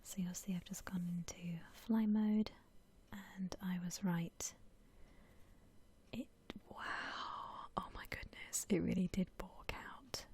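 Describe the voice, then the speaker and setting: A woman hosts an ASMR gaming session in a very breathy whisper. Her tone is soft and mellow, and she takes her time, gently thinking out loud as she plays. The delivery stays hushed and unhurried. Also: lowish voice, steady tone. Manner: gentle, unhurried, musing aloud. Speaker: host of a gaming ASMR channel. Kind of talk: whispered ASMR game commentary.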